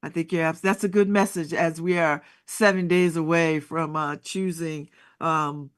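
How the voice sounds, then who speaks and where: A woman's voice: low voice, fairly even intonation, measured pace. A woman hosting a casual interview, talking conversationally and often repeating the first words of her sentences as she feels her way forward. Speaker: talk show host, interviewer.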